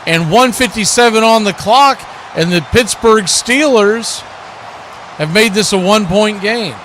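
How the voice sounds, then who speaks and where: A male sportscaster with a notably high voice delivers live football commentary. He calls the action play by play, with faint noise in the background of the recording. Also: a bit bright.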